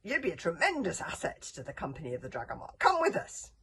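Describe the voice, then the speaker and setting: A woman voicing a gruff old male warrior teacher who bellows and urges in a storybook reading. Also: fairly low pitch, wildly swooping pitch, fast, fairly bright tone, a little hiss or hum, full character voice. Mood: rousing, urgent. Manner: bellowing, urging.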